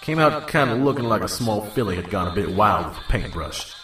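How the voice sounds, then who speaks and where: A man voicing a world-weary, gruff pony trader in a wasteland audio drama, with a folksy, jaded drawl. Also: lowish voice, expressive, fairly quick, fairly bright tone. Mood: wry narration. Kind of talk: scripted first-person narration and audio drama. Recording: roomy, echoing, distant mic.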